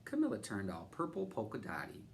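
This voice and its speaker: A man reading a children's picture book aloud in an expressive narration with dramatic emphasis. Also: on the low side, a little fast. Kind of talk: animated read-aloud narration. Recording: faint background noise.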